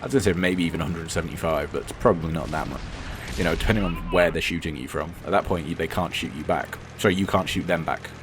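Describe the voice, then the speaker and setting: A hoarse, croaky man with a very deep voice talks casually over gameplay, rambling and stopping to correct himself. His opinions come out blunt and unfiltered. Gunfire and engine noise sound clearly behind him. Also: fast, hardly any pauses. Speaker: gaming commentator.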